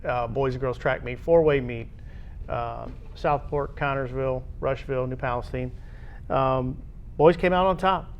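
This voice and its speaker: An American man hosting a local high school sports show with casual chat, moving the discussion along. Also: unhurried, highs cut off.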